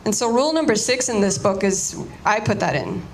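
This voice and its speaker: A young woman talks informally to a room about her art, her voice at a middle pitch. She often circles back and restarts her sentences. A faint hiss of noise sits behind her. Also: animated, fairly quick, poor audio. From United States, Cuban-American family. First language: Spanish. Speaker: artist giving a talk. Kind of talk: casual artist talk to an audience.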